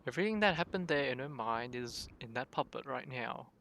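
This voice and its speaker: Animated male streamer with creaky voice. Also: medium pitch, fairly quick. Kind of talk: casual live gaming commentary.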